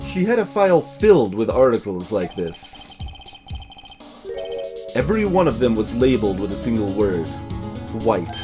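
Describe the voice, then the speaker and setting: A man voicing an earnest, determined young defense lawyer from a video game in a serious, measured way. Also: lowish voice, big pitch swings, over music, voice pushed down.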